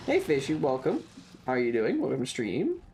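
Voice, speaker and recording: A man's voice: medium pitch, huge pitch swings, a little fast, creaky, noisy background. A man streaming a video game with casual live commentary, in a playful, sarcastic and theatrical manner. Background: video game ambience.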